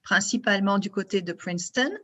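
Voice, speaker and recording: A woman's voice: medium pitch, brisk, bright, forceful tone. A middle-aged woman, a guest chatting casually, hesitant with many fillers. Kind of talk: casual chat.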